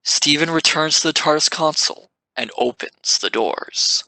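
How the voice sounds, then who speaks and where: Gravelly, bright male narrator. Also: a little high, normal speed, a little hiss or hum, low-quality recording. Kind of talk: scripted narration.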